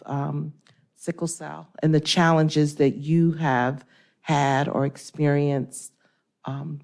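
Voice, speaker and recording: A woman counselor facilitating a panel discussion, measured and organized as she introduces the topic and guests. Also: low voice, a little mellow. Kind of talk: formal panel moderation.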